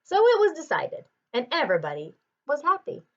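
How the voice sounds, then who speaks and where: Animated woman reading a picture book aloud. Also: high voice, dull audio. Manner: expressive, deliberate phrasing. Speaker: storybook reader.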